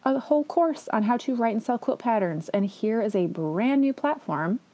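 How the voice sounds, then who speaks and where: A woman's voice: fairly high pitch, lively intonation, normal speed, warm tone. A woman hosting her own podcast, talking in a chatty, enthusiastic way with self-deprecating asides. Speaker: podcast host. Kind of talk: casual solo podcast talk.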